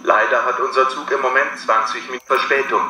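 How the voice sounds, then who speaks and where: A man reading a formal train announcement in an even tone. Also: mid-range voice, quick pace. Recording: very echoey with faint noise.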